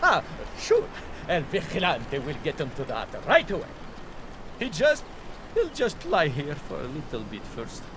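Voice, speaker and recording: An actor voicing a pompous, theatrical masked vigilante in a video game, declaiming grandly with easily wounded pride. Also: male voice, on the high side, wildly swooping pitch, a little slow, background noise. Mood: deflated.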